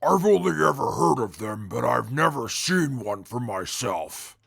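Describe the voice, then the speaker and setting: Guy as a big mutant in a radio play, really gravelly, slow and stiffly formal, dry sound. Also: mid-range voice, animated, fairly bright tone, dry recording, exaggerated voice. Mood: formal, reserved. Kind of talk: post-apocalyptic radio drama acting.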